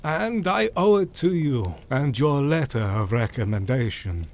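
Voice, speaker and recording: A man's voice: medium pitch, expressive, measured pace. Male actor as formal, courteous Victorian academic. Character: formal Victorian university scholar, adult man.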